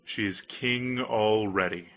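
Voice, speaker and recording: A man's voice: on the low side, takes it slowly, a bit bright, pitched below the performer's own voice. A man voicing a magical talking fish in a storybook, terse and commanding. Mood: weary command. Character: magical male talking fish granting wishes, curt.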